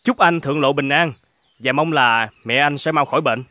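An actor playing a kind, humble older shepherd in an animated folk tale, speaking politely and gently. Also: male voice, fairly high pitch, moderate pace, no echo. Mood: kind, gentle. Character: kind, humble older shepherd, hospitable, fearful under threat.

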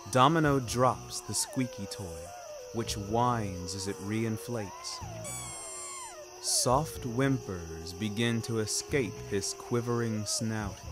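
Guy narrating a fiction podcast, slow and dramatic, kinda wry, music behind him. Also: lowish voice. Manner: wry, theatrical, ornate delivery. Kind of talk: scripted first-person story narration.

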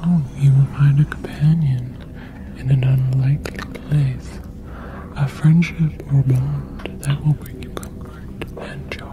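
Woman as a slow, whispering alien psychic. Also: extremely low pitch, fairly even intonation, phone-quality sound, noisy background. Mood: soothing, mysterious. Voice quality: whispery, breathy. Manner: slow, gentle, reassuring. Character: soothing cosmic alien psychic fortune teller. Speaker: ASMR performer. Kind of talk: ASMR roleplay.

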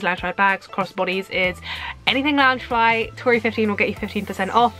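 A young woman, chatting brightly through an unboxing in a small room, her talk bubbly with squeals and laughs. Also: mid-range voice. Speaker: vlogger. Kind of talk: casual unboxing chat. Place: small indoor room with collectible shelves. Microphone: camera at desk distance.

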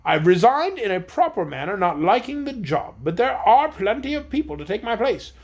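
A man's voice: sits high, very animated, normal speed, husky, pitched above the performer's own voice, heavily acted. A man voicing an earnest young former soldier in a storybook reading, protesting his case.

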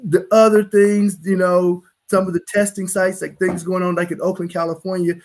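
A man's voice: high voice, level tone, moderate pace, a little mellow, slight background noise. A middle-aged man, a physician, explaining emphatically on a live video-call panel from a home office. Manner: emphatic, explanatory, gesturing with hands. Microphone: video call webcam.

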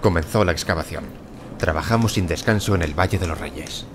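A man's voice: low-pitched, brisk, a bit bright, slight background noise. An actor playing an earnest, obsessed, resolute archaeologist who also narrates his story in an audio drama. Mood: reflective narration. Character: obsessed, earnest, blunt male English archaeologist. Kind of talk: Spanish-language audio drama acting and narration.

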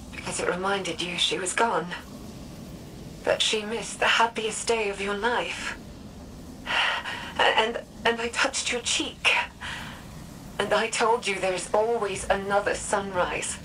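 A woman playing an earnest, tender, troubled woman in a sci-fi video game, speaking haltingly and with feeling. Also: medium pitch, bright, forceful tone, slight background noise, rough recording. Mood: tender, wounded. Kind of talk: dramatic video game dialogue.

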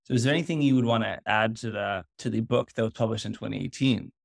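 Lowish-voiced male interviewer, dry sound. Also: fast, creaky, dry recording. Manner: precise, politely checking his understanding. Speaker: podcast host, interviewer. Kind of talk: considered interview questions.

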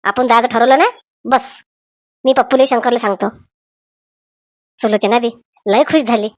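Lady doing boastful excitable village cartoon character, highish voice, vidarbha marathi accent, dry close sound. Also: moderate pace, dry recording, lightly acted. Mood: eager. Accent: rural Vidarbha Marathi. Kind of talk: comic cartoon voice acting in village dialect.

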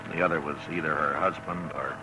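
An actor playing a stern, steady frontier marshal in a 1950s radio western, terse and authoritative. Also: male voice, very deep voice, quick pace, poor audio. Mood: grave narration. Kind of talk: 1950s radio western acting and first-person narration. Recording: vintage 1950s radio recording with music.

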